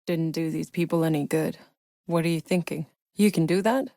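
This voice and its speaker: A woman's voice: low voice, normal speed, vocal fry, mellow, dry recording, clean studio sound. An actress playing a tough, sardonic female soldier in a sci-fi shooter game, with a wry, blunt delivery. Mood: matter-of-fact, frank. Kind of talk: video game voice lines, naturalistic acting.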